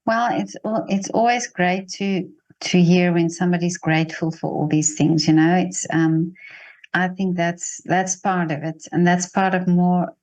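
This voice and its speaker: A woman's voice: on the low side, steady tone, measured pace, darkish tone. A woman hosting a casual conversational interview in an encouraging way, with frequent listening murmurs. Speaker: interviewer, host.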